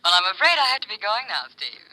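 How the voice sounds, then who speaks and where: An actress playing a cool, teasing, scheming femme fatale over the telephone in a 1950s radio drama. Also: female voice, mid-range voice, very animated, bright, forceful tone, rough recording. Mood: coy, mocking. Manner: coy, mocking, drawn-out.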